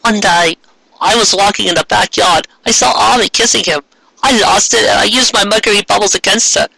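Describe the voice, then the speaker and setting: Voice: mid-range voice, a little fast, gravelly, pushed and bright, breathy edge, dry recording, exaggerated voice, low-quality recording. A performer voicing an earnest, remorseful teenage girl magical heroine in a dramatic fan fiction reading.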